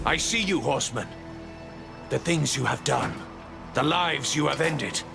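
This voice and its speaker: An actor voicing an ominous, all-seeing male angel ruler in a video game, theatrical and accusing, with a gravelly voice. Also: a little high, unhurried, music in the background. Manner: theatrical, declaiming. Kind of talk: dramatic video game voice acting.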